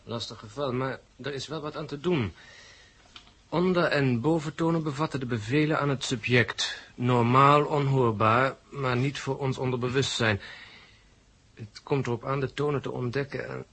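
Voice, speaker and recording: An actor playing an impatient technical expert in a 1970s radio drama, with a husky voice and a brisk, bossy manner. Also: male voice, medium pitch, a bit bright. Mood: musing, explanatory. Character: impatient male technical expert in a spy sci-fi serial.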